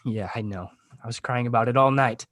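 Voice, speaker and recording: A man playing a beleaguered, sarcastic high school class president in a comedy table read, halting, defensive and easily brought to tears. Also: a bit bright, dry recording, natural voice.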